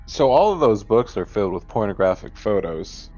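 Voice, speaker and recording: A man's voice: on the low side, big pitch swings, normal speed, warm tone, lo-fi sound, soft background music. A man playing a tabletop game, chatting casually in character in a hesitant, bemused way.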